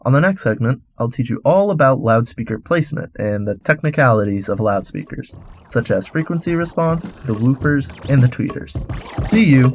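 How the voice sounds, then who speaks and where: Male radio host for scripted educational segment. Mid-range pitch, instructional step-by-step delivery. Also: lively intonation, moderate pace, music in the background. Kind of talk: scripted explanatory narration.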